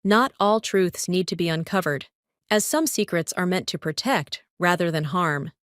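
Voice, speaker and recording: A woman's voice: on the low side, normal speed, a bit bright, dry recording, clean studio sound. A woman narrator giving a scripted, explanatory reading for a children's story.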